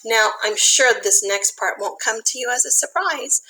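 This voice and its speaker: A woman reading a picture book aloud to young children, expressive, with simple explanatory asides. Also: fairly high pitch, normal speed, a little hiss or hum, low-quality recording.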